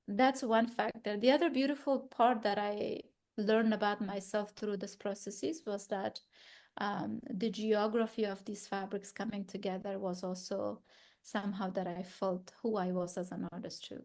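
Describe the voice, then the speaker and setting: Woman artist from Afghanistan, thirties, gallery talk, thoughtful and halting with restarts, mid-pitched voice. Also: steady tone, darkish tone. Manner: thoughtful, searching for words, frequent restarts. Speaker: exhibiting artist.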